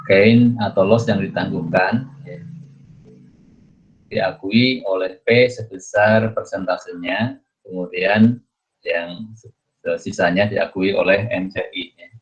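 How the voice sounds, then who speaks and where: A man giving an explanatory lecture as a teacher, in a halting, repetitive manner with frequent checking tags. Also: sits low, fairly even intonation. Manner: halting, repetitive, punctuates phrases with a checking tag. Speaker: accounting lecturer. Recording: dull, muffled lo-fi audio.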